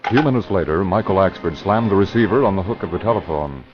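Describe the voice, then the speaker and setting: A man narrating a vintage radio drama in a measured, dramatic manner. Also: low-pitched, animated, loud music behind the voice. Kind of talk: scripted narration. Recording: muffled vintage radio broadcast.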